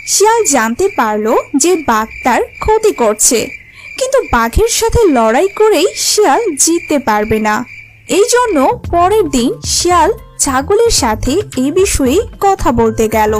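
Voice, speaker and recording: Casting: female storyteller for children's cartoons, bright-pitched and very varied in melody. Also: very animated, unhurried, soft background music, rough recording. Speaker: narrator.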